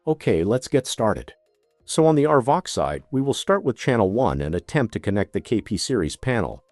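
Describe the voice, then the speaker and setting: Male tutorial narrator, methodical product demonstrator with animated intonation, recorded close and dry. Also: on the low side, moderate pace, dry recording, soft background music. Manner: methodical, step-by-step instruction.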